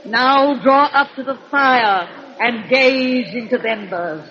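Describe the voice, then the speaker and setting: A woman's voice: fairly high pitch, slow pace, crowd noise. An actress playing a gleefully macabre, cackling witch of a hundred and eleven, telling horror tales in rustic folksy speech on old-time radio. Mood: eerie, inviting. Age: 111, very old. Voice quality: cracked, cackling old crone voice. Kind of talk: 1930s radio horror storytelling. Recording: muffled old radio recording.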